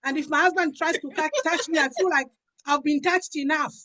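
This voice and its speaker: A woman's voice: sits high, steady tone, a little fast, pushed and bright. A woman pastor, giving a personal testimony in conversation, stressing points by repeating them. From Africa. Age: 50s. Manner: emphatic, repeats phrases for stress.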